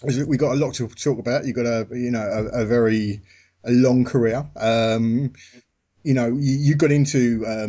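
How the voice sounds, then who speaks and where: A man from the United Kingdom hosts a relaxed interview, chatty and friendly in his wording. He halts often and fills the gaps with ums and uhs. His voice sits at an ordinary middle pitch for a man. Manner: chatty, halting, many fillers. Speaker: interview host. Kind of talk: casual interview chat.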